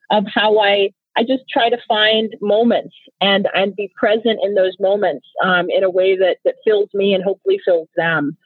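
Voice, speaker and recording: A woman's voice: mid-range voice, dry recording. A woman in her thirties from Nigeria, whose first language is Igbo, answering interview questions in an earnest, reflective way with frequent restarts. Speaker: guest, company founder. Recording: tinny phone-line sound.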